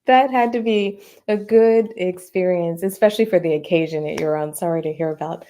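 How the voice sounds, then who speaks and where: A woman's voice: lowish voice, a little fast, warm tone. A woman hosting a podcast interview, chatting casually with many fillers. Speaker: podcast host.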